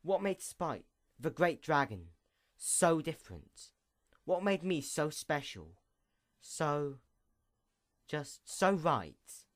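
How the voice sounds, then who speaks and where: A man's voice: a little high, big pitch swings, slow pace, long pauses, voice pushed up. A man voicing a weary, doubting female magical horned creature from a fantasy story, musing in a sombre, questioning way. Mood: troubled musing.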